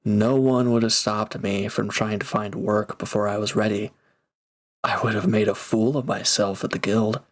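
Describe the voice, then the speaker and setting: A man's voice: fairly low pitch, steady tone, normal speed, lightly acted. A man playing a reformed villain turned mercenary in an intimate roleplay audio drama, speaking casually and hesitantly with awkward, earnest gratitude. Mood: earnest, defensive.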